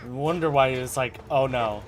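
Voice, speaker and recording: A man's voice: medium pitch, normal speed, a little hiss or hum, rough recording. A man streaming a video game, giving casual, offhand muttered commentary and reactions. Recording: game music behind.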